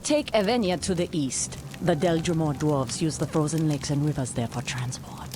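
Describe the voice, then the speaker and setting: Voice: medium pitch, moderate pace, background noise, lightly acted. An actor playing a doomed resistance fighter in a video game cutscene, grave and resolute. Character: doomed resistance fighter making a last stand.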